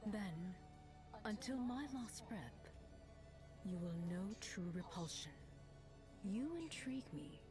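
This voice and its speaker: A woman voicing a solemn female demon-hunting warrior in a video game, measured, proud and poetic. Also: medium pitch, expressive. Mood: solemn, defiant. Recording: game audio with music.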